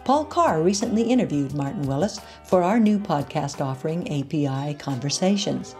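A woman hosting a podcast, reading a scripted case report and introduction in a measured, earnest way. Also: low voice, expressive, darkish tone, soft background music. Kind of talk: scripted narration.

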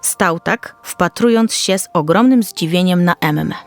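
A woman's voice: lowish voice, a little slow. A woman reading a scripted children's story as narrator, in a careful storytelling manner. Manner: storytelling, descriptive, carefully read. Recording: soft music under the voice.

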